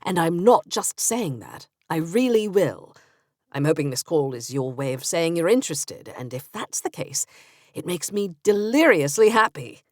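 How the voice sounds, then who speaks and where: A woman doing a soft-spoken English young man. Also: on the low side, sing-song, normal speed, dry recording, studio quality. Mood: tender. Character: charming young Englishman, eager and sincere.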